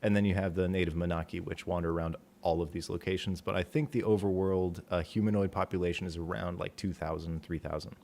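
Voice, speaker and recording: Quiet deep-voiced guy hosting a stream, soft low voice, laid back. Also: fairly even intonation, quick pace, creaky, few pauses, a little hiss or hum. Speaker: author and stream host. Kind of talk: casual livestream chat.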